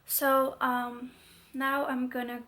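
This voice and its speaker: Woman, young adult, congested stuffy voice, chatty vlog, rambling with fillers, slightly high pitch. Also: little pitch movement, slow pace, sharp tone. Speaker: vlogger. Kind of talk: casual talking-to-camera vlog.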